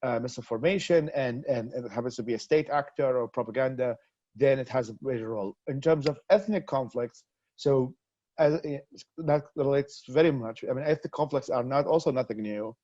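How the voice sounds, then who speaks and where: A man's voice: medium pitch, a little fast, mellow, no room sound. Earnest man on a lo-fi video call. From Iraq. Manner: earnest, frequent restarts and repeated words. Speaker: interview guest, activist. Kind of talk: conversational interview answers. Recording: dull lo-fi video call audio.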